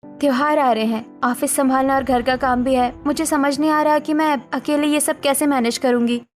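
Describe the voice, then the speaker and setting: Supportive, assertive young daughter-in-law female actor, high-pitched, animated family drama, music bed. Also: moderate pace, close to an everyday voice. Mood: earnest. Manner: earnest, firm.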